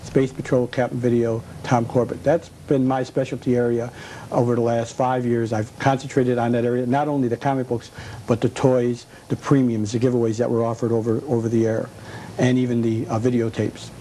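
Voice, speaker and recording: A man with a soft-edged, mellow voice gives casual interview answers as a collector. Faint ambient noise sits behind him. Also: medium pitch, flat delivery, brisk, low-quality recording. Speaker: comic shop collector, interview guest.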